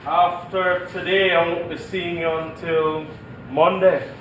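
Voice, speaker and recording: Flirty high-voiced Jamaican husband. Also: male voice, little pitch movement. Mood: flirtatious. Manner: hesitant, halting with innuendo. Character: flirtatious, bumbling middle-aged husband. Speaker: stage actor. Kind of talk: comedic stage play acting. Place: theatre stage with audience. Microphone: distant stage microphones. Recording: echoey noisy theatre, distant mics.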